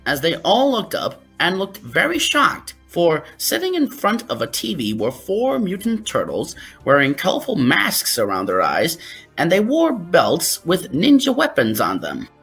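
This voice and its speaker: Low, husky, lively woman narrator. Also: measured pace. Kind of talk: scripted fan fiction narration. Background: background music.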